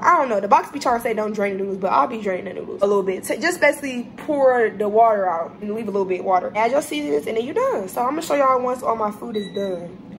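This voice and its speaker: A woman's voice: a little high, slight background noise, low-quality recording. A young woman in her twenties from Ohio, chatting casually to viewers as she cooks in her home kitchen, often calling them "y'all". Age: 20s. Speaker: vlogger. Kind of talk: casual cooking vlog talk.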